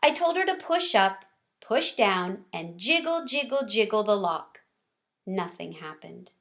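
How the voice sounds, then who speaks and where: A woman storyteller reading a children's chapter book aloud in an expressive way, stressing key words. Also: medium pitch, unhurried.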